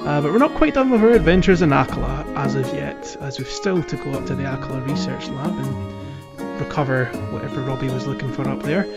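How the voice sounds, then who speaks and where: A man's voice: medium pitch, animated, fairly quick, vocal fry. A man chatting casually as the co-host of a podcast. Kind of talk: casual chat. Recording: dull lo-fi audio, faint music.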